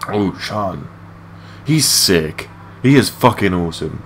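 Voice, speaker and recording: Low-voiced young man, casual and sweary. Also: animated, slow pace, fairly bright tone. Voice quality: low-pitched voice. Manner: rambling, sweary, matey. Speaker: gaming video creator. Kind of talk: casual unscripted gameplay commentary. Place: his room at home. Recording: clearly noisy home mic recording.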